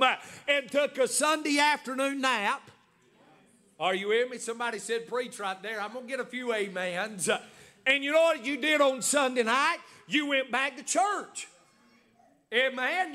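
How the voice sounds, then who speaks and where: A man's voice: extremely high pitch, pushed and bright. A man in his forties preaching a sermon as pastor, with many "uh" fillers and emphatic repetition. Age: 40s.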